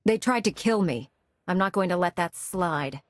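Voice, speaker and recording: An actress playing a tough, blunt, determined heroine in a space opera video game. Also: female voice, on the low side, animated, moderate pace, dry recording, studio quality, lightly acted. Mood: determined, defiant.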